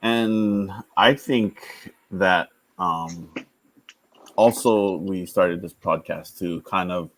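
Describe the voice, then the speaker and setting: Man in his 30s from Hawaii, very deep voice, slow hesitant talk, dry sound. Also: dry recording, poor audio. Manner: hesitant, many pauses and ums. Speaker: podcast co-host. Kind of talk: casual podcast chat.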